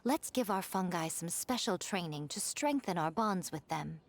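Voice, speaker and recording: Lively, sly, elegant lady. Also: on the low side, moderate pace, background noise. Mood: teasing, encouraging. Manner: teasing, refined, poised. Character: elegant, sly, teasing mature lady, poised and self-assured. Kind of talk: animated video game voice acting. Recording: music behind the voice.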